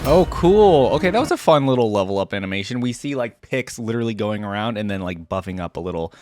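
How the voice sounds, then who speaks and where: A man's voice: lowish voice, animated, fast talker, over music. A man giving casual, easygoing gaming reaction commentary, informally thinking aloud as he hosts. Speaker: reaction video host.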